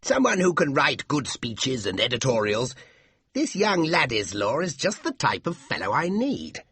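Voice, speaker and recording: Man doing a hearty older uncle, bright, sing-song, genial, husky. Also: medium pitch, wildly swooping pitch, a little slow, dry recording, voice pushed up, exaggerated voice.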